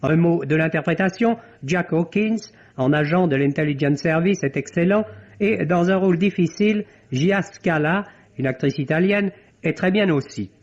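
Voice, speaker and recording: A man's voice: on the high side, moderate pace. A man delivering a film review as a critic on the radio. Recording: dull vintage French radio broadcast.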